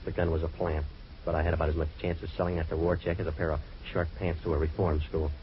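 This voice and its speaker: An actor playing a sardonic, wisecracking American private eye in an old-time radio detective drama, with a deadpan delivery. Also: male voice, a bass voice, quick pace. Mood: weary, sardonic. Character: hardboiled, wisecracking male private eye in trouble. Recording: dull, noisy vintage radio recording.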